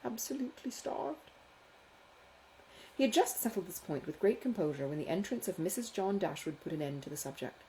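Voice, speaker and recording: A woman reading a classic novel as an audiobook, expressive and carefully phrased in a measured literary manner. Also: lowish voice, slight background noise, poor audio. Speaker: audiobook narrator, author.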